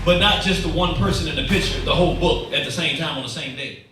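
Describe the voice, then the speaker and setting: A man speaking in a casual, halting way, often pausing to search for words. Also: fairly high pitch, a bit bright. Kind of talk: casual talk. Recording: very echoey room, far from the mic, music behind.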